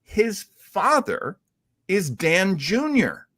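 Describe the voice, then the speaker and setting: A man hosting a show, explaining in casual talk with a halting, thinking-aloud delivery. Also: high voice, huge pitch swings, a bit bright, dry recording, clean studio sound. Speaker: show host. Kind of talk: casual explanatory talk about old radio heroes.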